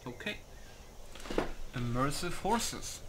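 A man's voice: on the high side, big pitch swings, slow pace, background noise. A man giving casual gameplay commentary, muttering as he reads menu options aloud. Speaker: gamer recording a playthrough. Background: music.

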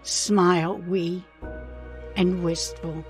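Lady reading a poem super slowly, careful phrasing, bit of music in the background. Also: on the low side, steady tone, a little mellow. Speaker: poet reading her own poem. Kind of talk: poetry recitation.

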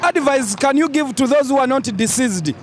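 High-voiced Ugandan man quizzing passersby. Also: expressive, moderate pace. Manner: playful, quizzing, teasing. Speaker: street interviewer. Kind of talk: comic street interviews. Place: busy town street outdoors. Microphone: handheld mic. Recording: loud street noise and crowd. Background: traffic and crowd noise.

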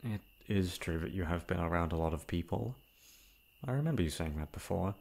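A man playing a remorseful, self-loathing young prince in a formal, halting audio roleplay monologue. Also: deep voice, expressive, creaky, a little mellow, heavily acted. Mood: ashamed, self-loathing. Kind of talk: dramatic ASMR roleplay monologue.

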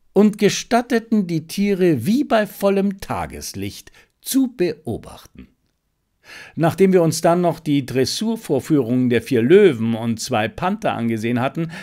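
A man's voice: on the high side, darkish tone. A man reading a detective story aloud as a scripted audiobook narration.

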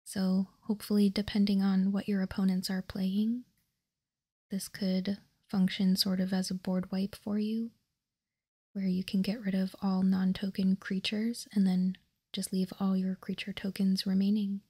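A woman whispering in a breathy voice as she slowly and deliberately presents trading cards, ASMR style. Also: medium pitch, little pitch movement, dark tone. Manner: slow, deliberate, reading card text piece by piece. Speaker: host of a trading card ASMR channel. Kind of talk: ASMR whispered card showcase.

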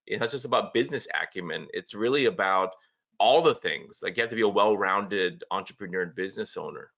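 A man with a low voice speaks as a guest on a video call. He talks earnestly, leaning into his points and repeating words as he goes. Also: brisk, gravelly, a bit bright. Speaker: café owner guest.

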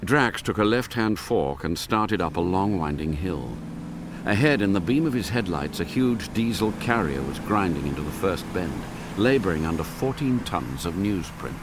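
A man's voice: low-pitched, expressive, normal speed, background noise. A man reading scripted narration for a radio drama.

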